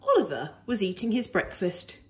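A woman reading a children's picture book aloud in an animated, expressive, deliberate way. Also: mid-range voice, a little hiss or hum.

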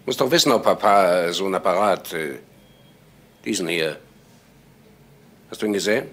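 Radio drama: suspicious son, notably husky, low, pressing and halting. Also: male voice, lively intonation, fairly bright tone. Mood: urgent. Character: insistent, suspicious son pressing his mother. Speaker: actor in a radio crime play. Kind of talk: German radio crime drama acting.

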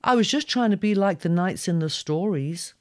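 A woman's voice: lowish voice, lively intonation, moderate pace, darkish tone, no room sound, studio quality, pitched above the performer's own voice, lightly acted. A woman voicing a cocky, stubborn young gargoyle boy with a brash, carefree manner in an audio story. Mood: eager, gallant.

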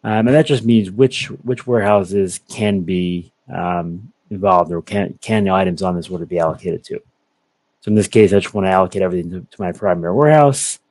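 Deep-voiced man explaining software. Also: a little fast, no echo. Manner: methodical, explains step by step. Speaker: software product presenter. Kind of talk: screen-share software walkthrough.

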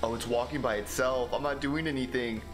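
A man in his twenties hosting a let's play, with energetic, goofy gameplay commentary. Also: medium pitch. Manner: energetic, goofy, quick reactions. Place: small home room. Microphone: studio mic on a boom stand. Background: game music.

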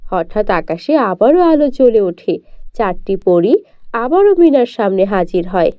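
A woman's voice: mid-range voice, sing-song, measured pace, no room sound, muffled recording. A woman narrator reading a scripted children's fairy tale for a cartoon.

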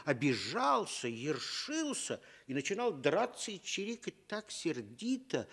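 Male fairy tale narrator, high voice, slow, super lively intonation. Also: husky. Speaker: storyteller.

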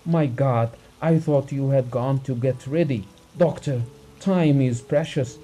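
A man voicing a gentleman who begs a doctor for help in an imploring, flowery, stilted storybook manner. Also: medium pitch, expressive, measured pace, pitched above the performer's own voice. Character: well-off gentleman desperately begging a doctor for help.

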